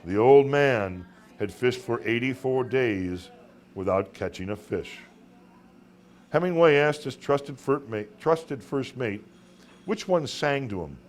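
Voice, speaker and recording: Male storyteller, mid-pitched voice, literary live reading, dramatic pauses, small stumbles, light background noise. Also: lively intonation. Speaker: author reading own short stories.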